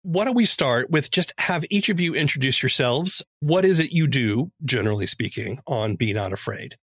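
A man's voice: medium pitch, lively intonation, normal speed, fairly bright tone, husky, no echo, clean studio sound. A man hosting a podcast, delivering scripted narration and interview questions. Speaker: podcast host and producer.

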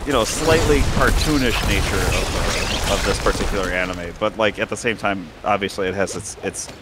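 A man's voice: on the low side, moderate pace, heavy background noise. A man chatting casually as a co-op gaming partner, talking in a hesitant, rambling way. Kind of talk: casual gameplay chat.

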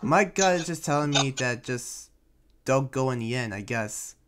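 A man's voice: medium pitch, animated, normal speed, a loud crowd, lightly acted. A man playing a friendly, reassuring but cautious townsman in an improvised anime game roleplay, rambling hesitantly in conversation. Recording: loud background music. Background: music.

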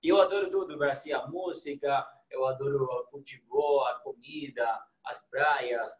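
Argentine man speaking Portuguese warmly. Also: mid-range voice, fairly even intonation, moderate pace, roomy sound, lo-fi sound. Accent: Argentine Spanish. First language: Spanish. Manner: warm, enthusiastic, searches for words at times. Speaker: guest, psychologist. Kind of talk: casual interview over video call. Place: small indoor room.

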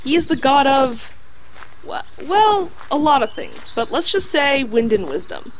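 A woman's voice: high-pitched, sing-song, slight background noise. A woman as a formal, lilting talking dog. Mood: instructive. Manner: formal, precise, measured wording. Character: formal, sensible female talking dog companion. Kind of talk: audio drama acting.

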